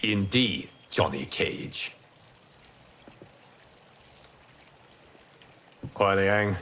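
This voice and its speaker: An actor playing a calm, solemn god and protector in a video game, measured, formal and authoritative. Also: male voice, fairly low pitch, slight background noise. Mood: stern, composed. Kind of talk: video game cutscene acting. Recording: background music under dialogue.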